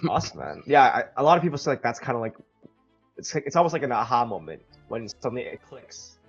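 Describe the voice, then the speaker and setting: A friendly male interviewer asks questions at a quick clip in a mid-range voice. He sounds casual and chummy. The audio is muffled and lo-fi, with faint music in the background. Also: mid-range voice, very animated, creaky, slightly rough. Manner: friendly, chummy, says "man" and "dude". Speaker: interviewer for a language program.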